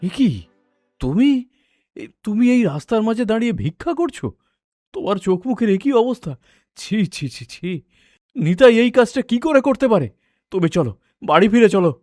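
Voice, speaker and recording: A man's voice: high voice, big pitch swings, moderate pace, pauses often, a little mellow, no room sound, studio quality, soft background music. An actor playing a kindly, concerned older father-in-law in a cartoon, exclamatory and insistent. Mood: shocked. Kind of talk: Bengali cartoon dialogue.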